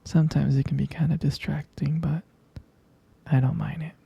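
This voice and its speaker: A performer playing a tender, doting partner at bedtime in an intimate ASMR roleplay, in a breathy, gravelly whisper with a halting, affectionate delivery. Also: medium pitch, little pitch movement, dry recording, lo-fi sound. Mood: adoring, flirtatious. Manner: slow, hushed, halting, affectionate.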